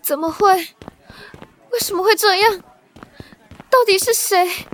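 A woman's voice: very high-pitched, unhurried, a little hiss or hum. An actress in an audio drama playing a proud, troubled young woman in family distress. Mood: panicked, distraught. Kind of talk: modern Mandarin audio drama acting.

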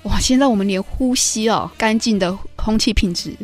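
A woman's voice: medium pitch, normal speed, faint music behind. A woman with a Taiwanese Mandarin accent co-hosting a radio show in a chatty way, speaking conversationally to listeners with a congested, slightly nasal voice. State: congested with a runny nose. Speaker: radio co-host.

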